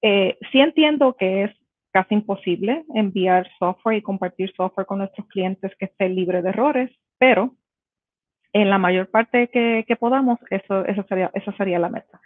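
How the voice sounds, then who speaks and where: A woman's voice: medium pitch, dry recording. A woman with a Puerto Rican accent giving a conference talk over a video call, explaining step by step with many fillers and restarts. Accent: Puerto Rican Spanish. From Puerto Rico. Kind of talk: conference presentation. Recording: tinny phone-like video call audio.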